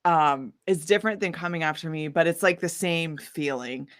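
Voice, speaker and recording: Chatty woman telling stories, slightly low voice. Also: normal speed. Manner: animated, informal, acts out quotes. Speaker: singer-songwriter interview guest. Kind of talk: casual chatty interview storytelling.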